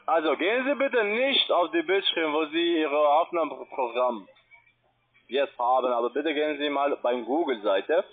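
A non-native man with a foreign accent, working as a call centre agent, giving halting instructions. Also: a little high, expressive. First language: not German. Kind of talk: phone support talk. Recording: tinny phone line.

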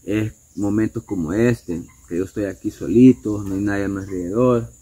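A man's voice: on the low side, moderate pace, mellow, breathy edge. A man in his forties from El Salvador with a Salvadoran accent, talking reflectively in a casual vlog monologue outdoors, often ending thoughts with a question tag. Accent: Salvadoran Spanish. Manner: reflective, conversational, tags sentences with questions. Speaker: vlogger. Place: outdoors, alone.

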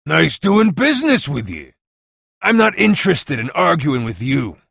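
An actor playing a gruff, hard-bargaining butcher and street vendor in a video game, with a gravelly, raspy voice and a brash, folksy huckster manner. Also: male voice, a little high, wildly swooping pitch, unhurried, a bit bright, dry recording, studio quality, exaggerated voice. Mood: wheedling, bargaining. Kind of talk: video game character voice lines.

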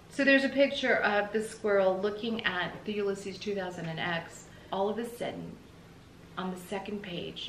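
A middle-aged woman reading a children's storybook aloud expressively, like a teacher, with deliberate pauses between phrases. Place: indoors in a room with bookshelves. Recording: roomy echo, faint low rumble.

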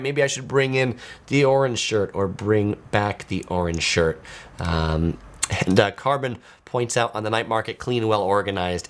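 A man in his forties chatting casually as a video host, reading out viewer comments and replying as if talking to one person. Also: on the low side. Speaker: host of a travel video channel. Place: small home studio, indoors.

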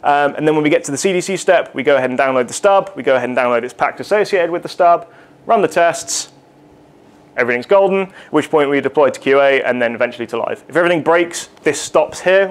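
A young man giving a casual, rambling technical talk at a meetup, with lots of fillers and asides. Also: mid-range voice. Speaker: conference speaker. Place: meetup room in front of a projected slide.

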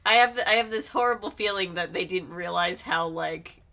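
A woman's voice: fairly low pitch, normal speed, pushed and bright. A young adult woman chatting casually as she reacts to a show, with a wry, conversational manner. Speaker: host reacting to a TV episode. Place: small furnished room indoors.

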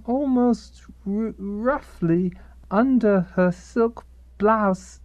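A woman's voice: mid-range voice, a little mellow, dry recording. A woman playing a literal-minded reader in a radio comedy, stumbling as she sounds out words of romance fiction. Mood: halting reading. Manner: halting reading, sounding words out.

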